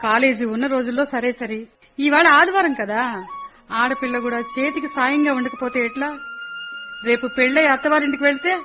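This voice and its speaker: A woman's voice: high-pitched, brisk, music in the background, natural voice. An actress playing a caring middle-aged mother of three in a radio play, scolding fondly. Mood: fussing. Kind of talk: radio drama acting.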